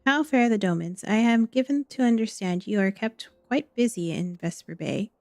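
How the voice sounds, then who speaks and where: A woman voicing a gracious, dutiful noblewoman in a fantasy game, reading formal archaic dialogue in a gentle, measured manner. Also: medium pitch, no room sound, soft background music, natural voice. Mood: solemn, gracious.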